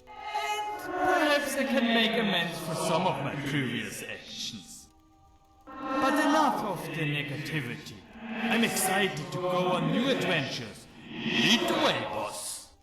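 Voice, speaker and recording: Over loud music, in a roomy echo, comes a high-pitched male ghost mercenary, acted by a man for a fantasy game. He is sardonic and world-weary, defensive yet loyal. His delivery is casual and matter-of-fact. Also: wildly swooping pitch, slow pace, distant mic, full character voice. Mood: hopeful, wry. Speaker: voiced follower character in a game mod. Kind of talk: scripted game character monologue.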